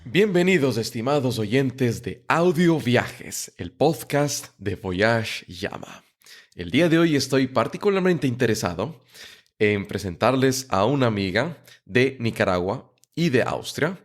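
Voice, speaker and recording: A man's voice: mid-range voice, very animated, unhurried. A man hosting a podcast and interviewing a guest, friendly and stumbling over words now and then. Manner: friendly, repeats words and restarts often. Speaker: podcast host.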